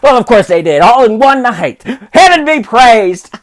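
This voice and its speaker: A joyful old miser cackles and exclaims in a high, lively voice that leaps up and down, as a man puts him on for a storybook reading. He sounds giddy and light. The delivery is unhurried. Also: full character voice. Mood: gleeful.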